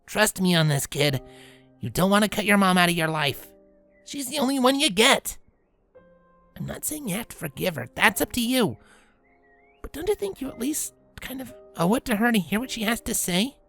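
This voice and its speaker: A woman voicing a tomboyish, protective grown pegasus pony, speaking in a calm, low, reassuring way in an acted audio drama. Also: normal speed, soft background music. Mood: calm, firm.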